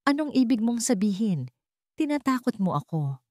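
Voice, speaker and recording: A woman's voice: a little high, very animated, normal speed, darkish tone, no room sound, clean studio sound, voice pushed up. Innocent young wife for an audio melodrama, played by a woman narrator: vulnerable, pleading, trembling, closely recorded. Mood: frightened. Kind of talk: dramatic Tagalog storytelling.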